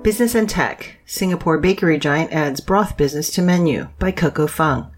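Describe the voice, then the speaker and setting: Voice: mid-range voice, normal speed, soft background music. News reader for scripted podcast stories, mid-range voice.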